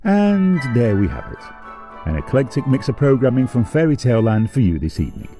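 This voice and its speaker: A man's voice: mid-range voice, big pitch swings, normal speed, warm tone, faint music behind. A man playing an arch, tongue-in-cheek presenter on a fairytale TV channel, formally announcing in scripted pantomime style. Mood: cheery sign-off.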